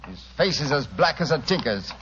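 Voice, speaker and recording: A plain-spoken British police inspector in old radio drama style. He is genial and blunt, with a slightly high voice on a muffled recording with faint noise. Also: very animated, fairly quick, pushed and bright.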